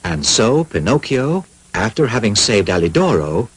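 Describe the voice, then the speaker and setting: A man with a husky voice narrating a cartoon as a scripted storybook tale. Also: on the low side, sing-song, normal speed, rough recording.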